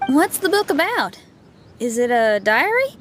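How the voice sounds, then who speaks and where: A woman's voice: sits high, sing-song, measured pace. An actress voicing a friendly young woman companion who makes light, amused remarks. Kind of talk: game voice acting. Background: music.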